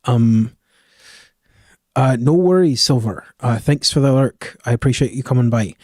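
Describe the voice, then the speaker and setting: A man's voice: mid-range voice, measured pace, darkish tone, no echo, studio quality. A man livestreaming and chatting casually with viewers in a put-on Scottish accent, rambling with lots of fillers and restarts. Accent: put-on Scottish, a mix of Glaswegian and Edinburgh. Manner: rambling, with many fillers and self-corrections. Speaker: live streamer. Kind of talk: casual chat with stream viewers.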